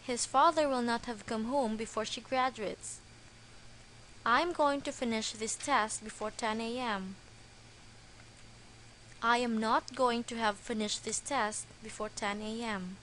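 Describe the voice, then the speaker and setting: Slow, careful woman teaching grammar. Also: fairly high pitch, a bit bright, a little hiss or hum, poor audio. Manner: slow, careful, deliberate delivery. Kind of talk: scripted lesson narration.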